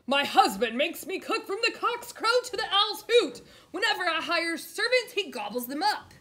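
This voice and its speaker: A frantic, overworked giantess cries out in a shrill, high roar, as a woman storyteller puts her on. The voice is pushed very high and loud, bright and piercing. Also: very animated, moderate pace, heavily acted. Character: huge frazzled overworked giantess.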